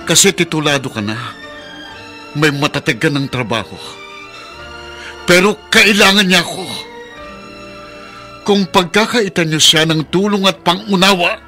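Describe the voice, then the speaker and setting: A man's voice: sits high, sharp tone, heavily acted. A Filipino actor playing a steadfast middle-aged husband and father in a radio drama, heartfelt and insistent.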